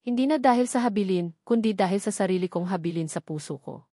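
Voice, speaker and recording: A woman's voice: medium pitch, expressive, fast, dark tone, dry recording, studio quality, close to an everyday voice. A woman voicing a resolute, devoted young husband in a romance audio story, speaking firmly and with emphasis. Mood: solemn, loving. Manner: firm, emphatic.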